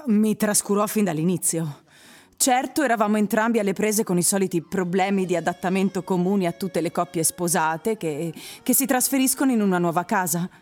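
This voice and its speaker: An actress playing a neglected, resentful wife in a radio drama, speaking in an emotive, reproachful way. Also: female voice, mid-range voice, brisk, darkish tone, natural voice. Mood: hurt. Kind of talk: radio drama monologue and dialogue.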